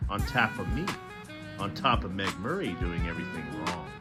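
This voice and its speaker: A man's voice: low voice, animated, moderate pace, loud music behind the voice. Man reading as a brooding, self-critical teenage girl. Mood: frustrated.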